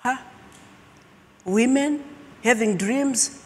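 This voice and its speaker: A middle-aged woman from Zimbabwe, a Shona speaker, telling her personal story as a keynote speaker with emphatic pauses. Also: fairly high pitch, darkish tone. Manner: slow, emphatic, dramatic pauses. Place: large auditorium stage. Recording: long hall reverb.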